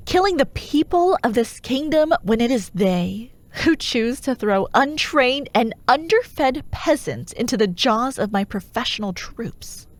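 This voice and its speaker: Slow, menacing dark warlord played by a woman. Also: on the high side, no echo. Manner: slow, grandiose, coaxing, mock-reasonable. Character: menacing, persuasive dark fantasy warlord haunting dreams. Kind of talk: ASMR fantasy villain roleplay.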